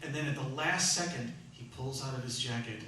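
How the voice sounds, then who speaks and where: A man hosting an audience question session for a theatre troupe, telling anecdotes in an animated, joking way. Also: mid-range voice, a little fast. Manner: animated, joking, often laughing. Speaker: radio theatre troupe founder and host. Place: live hall with an audience. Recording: echoey hall, distant mic, noisy.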